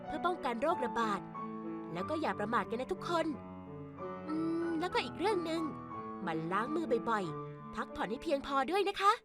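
Strict cartoon schoolgirl class leader, very high voice, lively swings, earnest, scolding, music behind. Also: brisk. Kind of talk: animated cartoon dialogue and narration.

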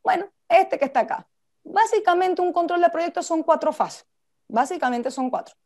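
A woman's voice: very high voice, normal speed, a little mellow. A woman with a Venezuelan accent giving an informal lecture, talking conversationally and putting questions to her listeners. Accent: Venezuelan Spanish. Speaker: project management instructor and speaker.

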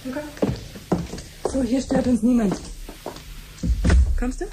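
An actress playing a shrewd middle-aged doctor and aunt in a 1970s radio play, dry and precise. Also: female voice, sits high, drawn-out words. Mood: wry. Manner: dry, gently mocking, precise. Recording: faintly noisy 1970s radio recording.

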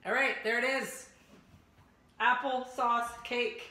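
A woman in her thirties hosting a casual home cooking demonstration, giving relaxed step-by-step instructions with a teasing edge. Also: a little high, slow, a little hiss or hum. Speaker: home cooking show host. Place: home kitchen, indoors. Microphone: far camera. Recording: echoey kitchen room.

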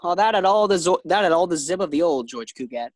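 A woman's voice: on the low side, animated, quick pace, a little mellow, no echo. A woman playing a distracted, affable husband with hesitant delivery in an old-time radio sitcom. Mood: cheerful. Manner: hesitant, absent-minded. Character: distracted, affable husband reading his morning paper.

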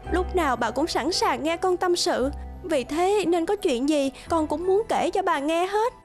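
A high-voiced sweet young bride. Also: female voice, a little slow. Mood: earnest, pleading. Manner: youthful, expressive, earnest. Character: sweet, earnest young bride-to-be, devoted to elderly friend. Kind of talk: cartoon voice acting. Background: music.

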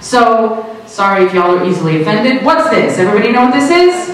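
A middle-aged woman from Russia, a native Russian speaker, doing a conversational, expressive stand-up comedy set. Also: on the high side, a little fast. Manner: conversational, expressive storytelling to a crowd. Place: small improv theater stage, indoors. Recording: echoey small theater, distant, some noise.

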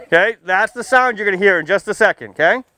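A man's voice: high-pitched, animated, quick pace, sharp tone. A man speaking outdoors as a firefighter presenting to children, in simple, playful language with frequent questions and check-ins. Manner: asks questions, checks in often with "okay" and "right"; playful teasing. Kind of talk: simple interactive safety talk for kids. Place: outdoors under a tent canopy beside a building, small seated audience.

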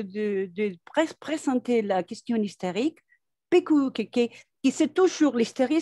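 A woman's voice: sits high, a little mellow, no echo. Woman in her forties, dry headset sound, careful questioner on a video call. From Argentina. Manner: searching for words, thoughtful. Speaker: psychoanalyst asking a question. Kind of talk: online panel discussion. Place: home room with bookshelves.

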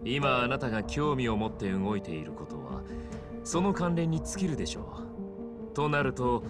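A man's voice: mid-range voice, big pitch swings, moderate pace, over loud music, close to an everyday voice. An actor playing an elegant, vain male fighter and club owner in a video game, speaking with polished, formal theatricality. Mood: probing, smooth. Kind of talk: dramatic video game acting.

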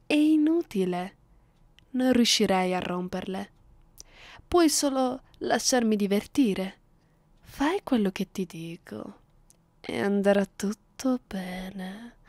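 Woman playing a slow-talking, cruel vampire mistress. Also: mid-range voice, darkish tone, no room sound. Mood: weary, taunting. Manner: slow, deliberate, condescending, often dropping into whispers. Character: cruel, bored, centuries-old female vampire captor. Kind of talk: ASMR roleplay, close and intimate. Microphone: close microphone, dry sound.

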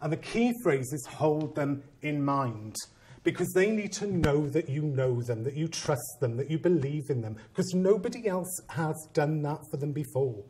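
A man's voice: on the high side, slight background noise. A Welsh man in his forties, a Welsh speaker first, giving a conference talk to teachers in a training room. He is theatrical and teasing, acting out quoted voices and playing to the seated audience on a lapel mic. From Wales.

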